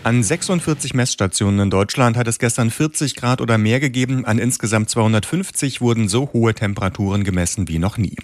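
A man delivering a read weather news report in a measured, matter-of-fact way. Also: medium pitch, no echo, clean studio sound. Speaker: radio reporter.

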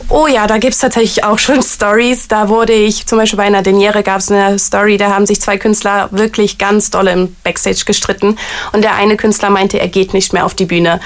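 A woman's voice: medium pitch, flat delivery, moderate pace. A woman answers interview questions about her job. She is chatty and eager, and her speech is loose and full of fillers. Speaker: artist liaison at a variety theater.

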